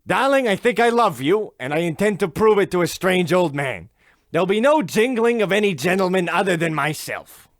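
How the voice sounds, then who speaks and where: A man's voice: sits high, lively intonation, normal speed, no room sound. A man playing a touchy, clueless, proud husband in a comic radio play, with an indignant, bombastic delivery. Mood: oblivious, bossy. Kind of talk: comic old-time radio play acting.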